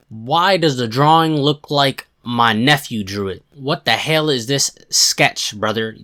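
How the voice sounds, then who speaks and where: A man giving casual, slangy reaction commentary as a video host, sarcastic and quick to exclaim. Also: medium pitch, a bit bright.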